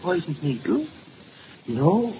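A man playing a frightened, grieving older father with a halting, stammering delivery in 1940s radio style. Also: fairly high pitch, sing-song. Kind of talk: 1940s radio mystery acting. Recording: noisy, muffled old radio recording.

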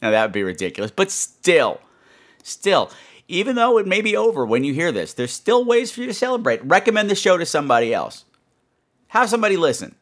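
A man's voice: high-pitched, fairly bright tone. A man hosting a podcast in a casual, chatty way, playful and exclamatory, often repeating phrases for effect. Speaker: podcast host.

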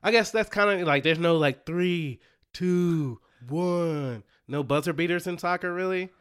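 A man's voice: fairly high pitch, measured pace, smooth. A man co-hosting a podcast, chatting casually about sports, laughing often and restarting his sentences. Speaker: podcast co-host.

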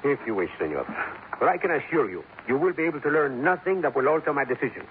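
An actor playing a calm, stubborn police captain with a Spanish accent, speaking formally and deliberately in a 1950s radio drama. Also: male voice, mid-range voice, phone-quality sound, lightly acted. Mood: firm, controlled. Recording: noisy, thin 1950s radio recording.

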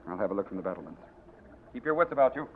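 An actor playing a steady military colonel giving clipped orders in a 1940s radio drama. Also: male voice, lowish voice, rapid-fire. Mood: commanding. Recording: muffled old radio recording, loud hiss.

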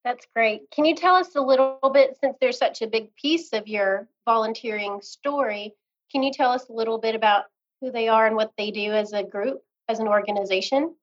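A woman's voice: on the high side, normal speed, dull audio. A woman hosting a podcast, asking encouraging, friendly questions in an interview. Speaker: podcast host.

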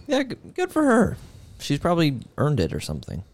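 A young man co-hosting a podcast, tossing in short, deadpan quips. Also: a little high, fairly quick. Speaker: podcast co-host. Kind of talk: casual comedic chat.

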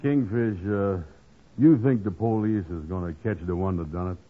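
An actor playing a gullible, muddled comic sidekick in an old-time radio comedy, hesitant and ungrammatical. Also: male voice, lowish voice, moderate pace, narrow, tinny audio, natural voice. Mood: dim, curious. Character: slow-witted, gullible, lovelorn working man, comic sidekick. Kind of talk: 1940s-50s radio comedy acting.

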